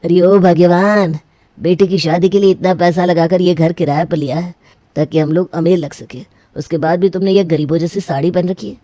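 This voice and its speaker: Woman as mellow, scheming middle-aged father. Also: on the low side, steady tone, moderate pace, dry recording, natural voice. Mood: scolding.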